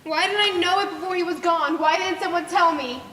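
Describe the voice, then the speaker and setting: A woman's voice: very high voice, brisk, sharp tone. A young woman acting in a stage play as a lovesick, earnest and determined daughter. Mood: heartbroken. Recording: echoey hall with faint noise.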